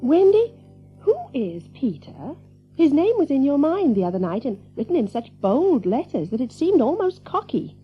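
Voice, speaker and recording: A woman's voice: high voice, very animated, normal speed, dull audio, soft background music. A woman playing a sweet, genteel, wondering mother in a children's audio drama. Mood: gently questioning.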